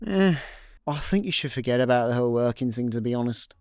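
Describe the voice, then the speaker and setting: Dry, deadpan young Scottish actor as male slacker. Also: mid-range voice, quick pace, clear, resonant tone, no echo, close to an everyday voice. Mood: deadpan, unbothered. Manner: deadpan, unbothered. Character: chilled, work-shy male space slacker, dryly sarcastic. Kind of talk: comic radio play dialogue. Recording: dry studio, faint music behind.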